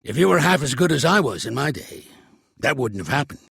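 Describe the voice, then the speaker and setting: An actor voicing a shrewd, gruff old American mobster for a video game, streetwise and blunt, with a gravelly, husky voice. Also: male voice, medium pitch, very animated, a little fast, fairly bright tone, no room sound, studio quality, heavily acted. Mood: barking, coaching. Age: older. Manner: streetwise, commanding, blunt, slangy. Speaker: mobster mentor and boxing coach. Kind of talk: video game character acting.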